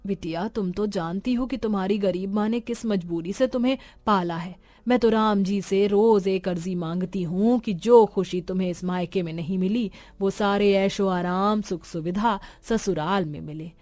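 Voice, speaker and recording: A woman's voice: medium pitch, normal speed, few pauses, dark tone, dry recording, close to an everyday voice. A woman voicing a poor, devout, long-suffering village mother in an earnest, plaintive and tender way. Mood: plaintive devotion.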